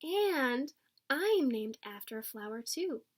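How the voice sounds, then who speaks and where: Woman acting as kindly female teacher, high voice, gracious, measured, assured, storybook character. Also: big pitch swings, full character voice.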